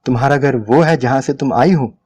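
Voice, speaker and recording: A man's voice: medium pitch, moderate pace, breathy edge, natural voice. A man voicing a sympathetic, earnest man in a dramatic story reading, polite and pained. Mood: pained sympathy. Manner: soft-hearted, earnest, polite. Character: sympathetic, gentle, pained man pleading with a woman.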